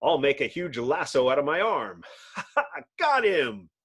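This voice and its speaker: Man acting excitable, high-pitched comic-book hero. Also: takes it slowly, slightly rough, heavily acted. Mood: urgent. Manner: breathless, exclamatory, melodramatic. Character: excitable, heroic male scientist and young sidekick. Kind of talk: dramatic comic-book reading.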